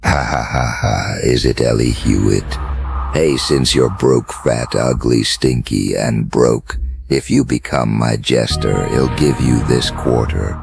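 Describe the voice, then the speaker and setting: An extremely deep, crackling male bully in a cartoonish fan dub. He taunts his victim slowly and with relish. Music plays behind him. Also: exaggerated voice. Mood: gleeful, mocking. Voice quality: gravelly. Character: mocking bully offering a jester job for a quarter.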